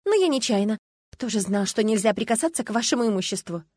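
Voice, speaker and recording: Woman acting an excitable, high-pitched young heroine. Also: dry recording, clean studio sound. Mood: flustered. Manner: breathy exclamations, quick flustered chatter. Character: impulsive, excitable young heroine, easily flustered and indignant.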